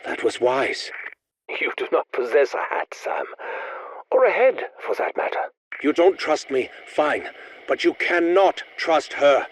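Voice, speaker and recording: An actor playing a secretive, obsessive scientist, formal and measured, muttering a research log in a video game. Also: male voice, on the high side, very animated. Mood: guarded. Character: brooding, secretive, obsessive scientist recording private research logs.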